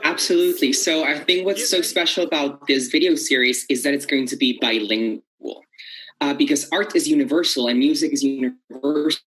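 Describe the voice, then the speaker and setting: A man's voice: a little high, fairly quick, a bit bright, breathy edge, poor audio. A young man from Colombia, a native Spanish speaker, explaining things casually and enthusiastically on a video call. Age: young adult. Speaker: interview guest, arts educator. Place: indoors at home, small room. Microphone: laptop video call.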